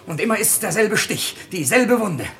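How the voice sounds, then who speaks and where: An actor playing a zealous, suspicious night-patrol police lieutenant in a 1950s German radio drama, clipped and menacing. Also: male voice, high-pitched, expressive, moderate pace, vocal fry, fairly bright tone, full character voice. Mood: suspicious, grim.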